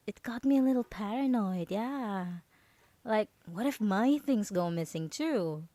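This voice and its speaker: A woman acting as a possessive female roommate in an ASMR audio drama. She speaks slowly and haltingly, with nervous sweetness and feigned innocence. The sound is close and dry. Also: medium pitch, darkish tone, no echo, close to an everyday voice. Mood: evasive, chirpy. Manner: halting, nervous, giggly, hesitant with many trailing pauses.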